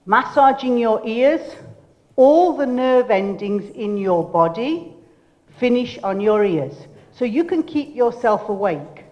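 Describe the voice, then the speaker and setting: An older woman giving a motivational keynote speech, loud and commanding, directing the audience. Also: on the high side, lively intonation, slow pace, dull audio. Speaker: keynote speaker. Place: lecture hall. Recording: lecture hall reverb.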